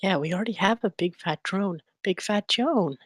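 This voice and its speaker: A woman's voice: mid-range voice, normal speed, no echo, studio quality, close to an everyday voice. A woman playing a cocky, sarcastic young boy drone racer in a script table read. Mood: incredulous.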